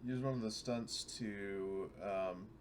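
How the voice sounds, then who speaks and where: A man's voice: fairly low pitch, slow, background noise. A man bantering casually as a player in a tabletop roleplaying game.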